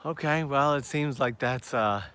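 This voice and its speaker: Man, hoarse from a long day, casual live-stream chat, walking outdoors, upbeat. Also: medium pitch, measured pace, sharp tone. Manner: chatty, upbeat, addresses viewers directly. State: losing his voice. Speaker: travel vlogger hosting a live stream. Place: outdoors on town backstreets at evening.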